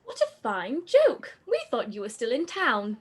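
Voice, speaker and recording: A woman playing a brash, boy-mad teenage girl in a period radio play, excitable and cheeky. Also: on the high side, a little slow, slightly rough, heavily acted. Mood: excited.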